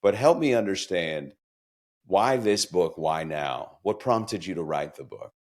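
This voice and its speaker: A man's voice: deep voice, lively intonation, measured pace, darkish tone. A man hosting a podcast interview, chatty and enthusiastic, asking long winding questions. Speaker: podcast host.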